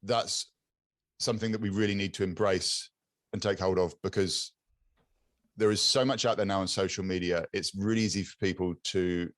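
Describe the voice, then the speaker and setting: A man with a British accent talks casually over a video call. His voice sits low, raspy yet clear, and it comes across dry and close. He restarts his phrases often, repeating words as he looks for the right one. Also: steady tone, moderate pace, no room sound. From England. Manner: repeats words while searching for them. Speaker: podcast guest. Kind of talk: casual interview chat.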